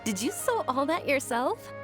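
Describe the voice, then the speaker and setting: Admiring young lady over loud music. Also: high voice, sing-song, moderate pace. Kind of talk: video game voice acting.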